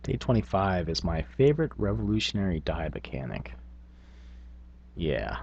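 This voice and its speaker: A man's voice: sits low, very animated, normal speed, a little mellow, slightly rough, highs cut off. A man hosting a tabletop gaming vlog, talking casually in a rambling, self-effacing, unscripted way. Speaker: tabletop gaming video host.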